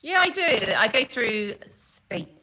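A woman's voice: fairly high pitch, sing-song, takes it slowly, pushed and bright. A woman guest chatting on a podcast, giggly and chatty, often drifting off mid-thought. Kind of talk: casual chat.

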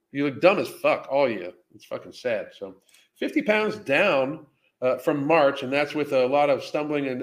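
A man hosting a casual live Q&A, talking off the cuff in a blunt, heavily profane way. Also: medium pitch. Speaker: live Q&A host. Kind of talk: casual unscripted livestream Q&A, reading and answering viewer questions.

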